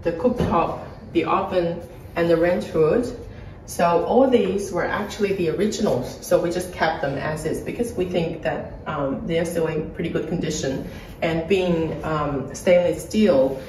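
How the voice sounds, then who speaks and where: A woman gives a casual, explanatory tour of a renovated kitchen, pointing things out as she goes. Her voice sits a little low and carries from a few feet off the phone mic. The bare room adds a noticeable echo. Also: darkish tone, far from the mic, a little hiss or hum. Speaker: property flipper showing a renovation. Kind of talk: casual home tour walkthrough. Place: bright empty renovated kitchen, indoors. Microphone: phone camera held a few feet away. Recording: echoey room, voice a bit off-mic.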